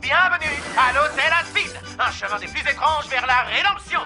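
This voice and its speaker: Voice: sits high, lively intonation, normal speed, sharp tone, faint music behind, heavily acted. An actor playing a theatrical, mocking cartoon radio demon, announcing like an old-time advertisement through a radio filter. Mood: showy, ironic. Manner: theatrical, grandiloquent showman.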